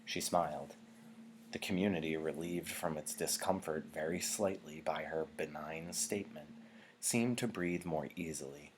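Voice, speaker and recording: A man reading a novel aloud in an expressive narrating style, like a teacher to a class. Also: a bass voice, normal speed, a little hiss or hum, poor audio.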